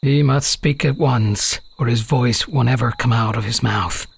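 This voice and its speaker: A man narrates a fantasy audiobook in a scripted storytelling style. His voice is husky with a heavy creak, recorded dry and close. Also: medium pitch, unhurried, no room sound.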